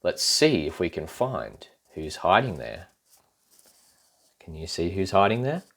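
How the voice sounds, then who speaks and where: Man, children's storybook read-aloud, low voice, slow pace, playful, expressive. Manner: playful, addressing young listeners with asides. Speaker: storybook reader.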